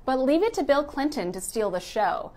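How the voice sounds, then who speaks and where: A woman's voice: sits high, sing-song, normal speed, background noise. A woman reading scripted narration for a news explainer.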